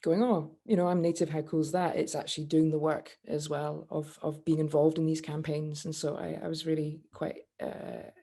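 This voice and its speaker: A woman's voice: low-pitched, flat delivery, moderate pace, creaky, few pauses, dark tone, slightly rough. A Scottish woman speaking as a guest on a panel, hesitant, with many fillers and restarts. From Scotland. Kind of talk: casual panel discussion.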